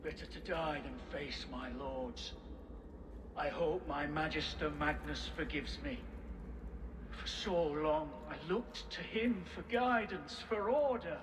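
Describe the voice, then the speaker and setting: A shamed, devout warrior in a video game. He speaks slowly and ruefully in a slightly high voice, with clear noise around him. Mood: despairing.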